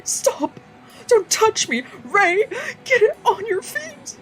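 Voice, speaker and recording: A woman's voice: very high-pitched, sing-song, takes it slowly, music in the background, voice pushed up, full character voice. A woman voicing a fierce, frantic friend cheering on a fighter in a visual novel read-aloud. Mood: frantic urging.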